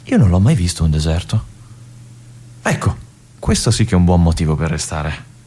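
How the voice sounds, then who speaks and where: An actor playing a restless young army lieutenant in a radio monologue, confiding and brooding in halting asides. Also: male voice, low-pitched, sing-song, dark tone, breathy edge, exaggerated voice. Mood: uneasy. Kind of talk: theatrical monologue.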